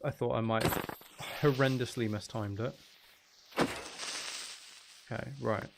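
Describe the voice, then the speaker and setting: A man's voice: mid-range voice, steady tone, measured pace, vocal fry, heavy background noise. A man giving casual live commentary while streaming a video game, muttering wry, exasperated asides to himself.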